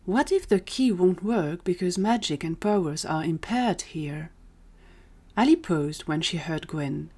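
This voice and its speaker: A woman's voice: lowish voice, animated, a little slow, a little mellow, breathy edge. A woman narrating a scripted audio drama story as the storyteller.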